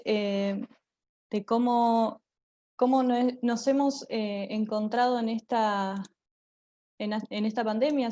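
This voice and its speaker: A woman with an Argentine accent and a soft, dark-toned voice gives an informal online presentation. She speaks haltingly, searching for words as she goes. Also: fairly high pitch, dull audio. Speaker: theatre group member giving a talk.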